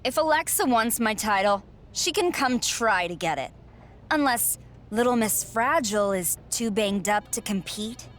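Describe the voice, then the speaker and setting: A woman's voice: on the high side, lively intonation, measured pace. A woman playing an arrogant, sneering wrestling champion in a game, with a smug, sarcastic and cutting delivery. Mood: defiant. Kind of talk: scripted sports-entertainment promo.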